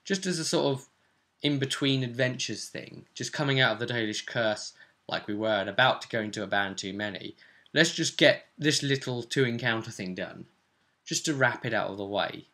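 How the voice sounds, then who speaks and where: A man in the role of game master, talking through a fantasy tabletop session. His voice is bright and forward, with a creaky, gravelly edge at a middling pitch. He tells it in a casual, rambling style with plenty of description. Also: moderate pace.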